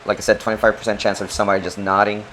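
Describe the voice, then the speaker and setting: A man's voice: lowish voice, level tone, rapid-fire, a bit bright, a little hiss or hum. A man from the Philippines, co-hosting a casual chat and explaining his points step by step. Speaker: co-host.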